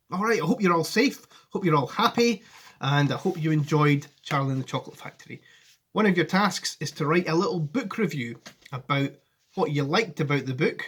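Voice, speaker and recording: A man's voice: a little high, fairly bright tone, husky. A man in his forties, a teacher reading a children's story expressively and addressing his pupils in a friendly way at home. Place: living room at home. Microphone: device camera at arm's length.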